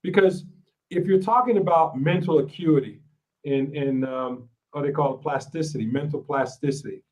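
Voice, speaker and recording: A man's voice: fairly high pitch, normal speed, darkish tone, recorded from a distance, low-quality recording. A man from Ohio hosting an interview show, casual and gracious, often agreeing with his guest. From Ohio, USA. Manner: affirming, frequent agreement, gracious. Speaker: podcast host.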